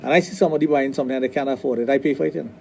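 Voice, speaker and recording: A middle-aged man with a Trinidadian accent, a sexologist talking on a radio show, blunt and quick to restart his sentences. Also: mid-range voice, steady tone, vocal fry, warm tone, slight background noise. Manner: repeats words, restarts often, blunt. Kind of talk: casual radio talk. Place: radio studio.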